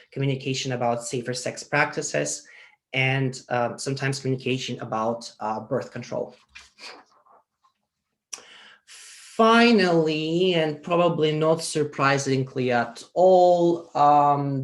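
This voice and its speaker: A man giving an academic conference presentation, earnest and measured, with frequent ums and uhs. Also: mid-range voice, vocal fry.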